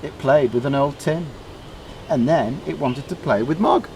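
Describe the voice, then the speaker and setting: A man reading a children's story aloud in short, deliberately emphasised phrases. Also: on the high side, a little mellow, a little hiss or hum, rough recording. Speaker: storybook reader.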